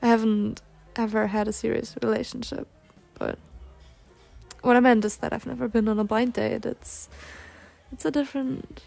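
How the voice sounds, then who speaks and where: A woman's voice: fairly high pitch, fairly even intonation, normal speed, vocal fry, darkish tone, soft background music. A young woman voicing a polite, bookish, insecure cougar-girl on a date in an ASMR roleplay, speaking formally with flustered hesitations. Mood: reserved, earnest.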